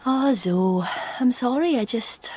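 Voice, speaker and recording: Shy unemployed woman, slightly high voice, hesitant, wry, self-deprecating, faint noise behind, radio drama. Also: lively intonation, slow. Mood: wistful, whispery. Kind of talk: radio play acting, dialogue and inner monologue.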